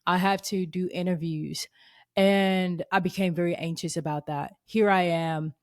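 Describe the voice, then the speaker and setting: Earnest low-voiced woman in her thirties. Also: fairly even intonation, unhurried. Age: 30s. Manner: earnest and reflective. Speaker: podcast host. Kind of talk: faith podcast monologue.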